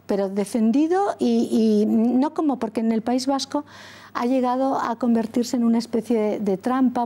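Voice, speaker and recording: A middle-aged woman from Catalonia, a former school principal, answering interview questions earnestly and deliberately, pausing and correcting herself often. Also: mid-range voice, dark tone. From Catalonia, Spain. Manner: deliberate, with frequent pauses and self-corrections.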